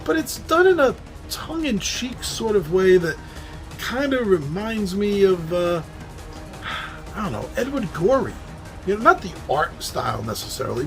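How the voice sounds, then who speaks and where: Lively high-voiced New York man hosting. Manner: chatty, animated, laughs at his own slips. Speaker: host. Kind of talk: casual monologue on board games.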